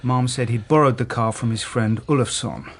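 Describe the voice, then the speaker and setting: An actor playing a matter-of-fact, slightly hesitant police detective in a naturalistic radio drama. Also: male voice, medium pitch, normal speed, slight background noise, lightly acted.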